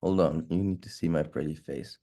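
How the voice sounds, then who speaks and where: A man's voice: rumbling low, fairly even intonation, brisk, dark tone. A man with a stuffy nose giving a loose, rambling live walkthrough of a tech tool as the instructor, swearing now and then. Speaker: security instructor.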